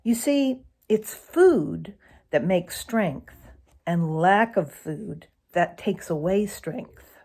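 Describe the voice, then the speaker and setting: Slow, expressive woman reading a storybook. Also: fairly low pitch, gravelly. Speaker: narrator reading a children's book aloud.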